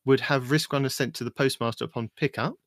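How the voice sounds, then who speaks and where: A man's voice: mid-range voice, steady tone, quick pace, a bit bright, no echo, studio quality. A man co-hosting a casual gaming podcast, reading update notes aloud and joking between items.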